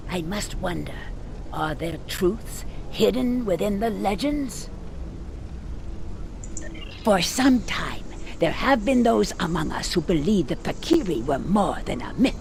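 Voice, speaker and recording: A woman voicing a solemn Klingon lore keeper in a video game, speaking in a formal, measured storytelling manner with a gravelly edge. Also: on the low side, noisy background. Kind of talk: scripted video game character dialogue.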